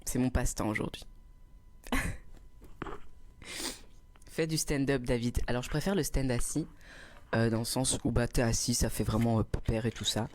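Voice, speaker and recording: A young man hosting a casual livestream, answering chat and spinning cheeky, self-mocking stories. His voice sits at a middling pitch. It is picked up close on a small, inexpensive microphone. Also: steady tone, moderate pace, long pauses, slight background noise. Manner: playful, teasing, self-mocking storyteller. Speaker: livestreamer reading chat comments. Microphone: cheap small clip microphone.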